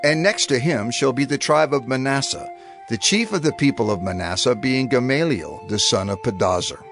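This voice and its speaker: Animated male scripture reader, faint music. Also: medium pitch, normal speed. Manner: formal, proclaiming. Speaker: narrator.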